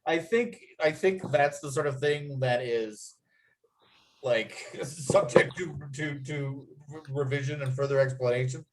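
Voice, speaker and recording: Man, medium pitch, halting but keen, informal group discussion, thinks out loud. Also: slight background noise, low-quality recording. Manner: halting, enthusiastic, rambling. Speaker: writer leading a table-read discussion. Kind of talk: casual group chat.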